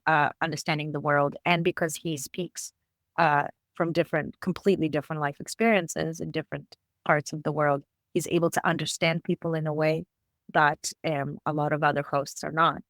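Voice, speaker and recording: A woman from Israel interviewing a podcast host, speaking in a thoughtful, measured way. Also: sits low, flat delivery, dry recording, clean studio sound. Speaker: guest interviewer. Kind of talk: conversational interview.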